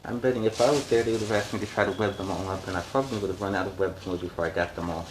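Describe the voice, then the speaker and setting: A man's voice: low voice, steady tone, racing, noisy background. A man reading a storybook aloud as host, adding conversational, explanatory asides in a casual style.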